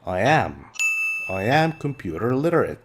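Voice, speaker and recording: A man's voice: medium pitch, very animated, a little slow, background noise. A middle-aged man whose first language is Arabic, teaching an English textbook lesson patiently and repeating key words.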